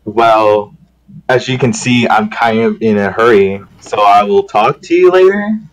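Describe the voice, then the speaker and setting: A man's voice: fairly low pitch, a little slow, muffled recording, natural voice. A man voicing an evasive, irritable young heroine in a visual novel, curt and defensive. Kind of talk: amateur visual novel voice acting.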